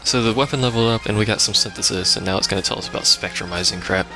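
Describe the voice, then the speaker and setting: A man's voice: lowish voice, very fast, low-quality recording. A man giving casual, rambling live game commentary as a let's play host, with wry asides. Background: video game music.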